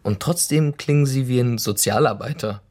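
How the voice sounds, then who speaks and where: An actor playing an embittered young delivery worker in a radio drama, sarcastic, provocative and colloquial in a naturalistic way. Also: male voice, medium pitch, normal speed, a little mellow, close to an everyday voice. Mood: mocking. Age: young adult.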